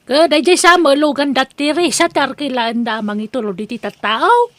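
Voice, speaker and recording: A woman's voice: high voice, animated, moderate pace, no room sound. A woman playing a sensitive, good-hearted married daughter in an Ilocano audio drama, earnest and plaintive with an Ilocano accent. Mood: friendly, eager.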